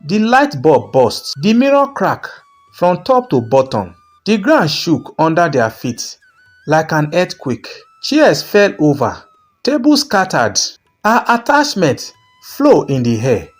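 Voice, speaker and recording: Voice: mid-range voice, huge pitch swings, slow, soft background music. A narrator telling a scripted folktale in a dramatic storytelling style.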